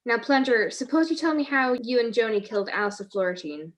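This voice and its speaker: A woman plays a quick-witted lady detective in an old-time radio mystery. Her voice is on the high side, and she delivers her lines with wry, teasing confidence. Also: natural voice. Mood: firm. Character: quick-witted wry female amateur sleuth. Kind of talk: 1940s radio mystery acting.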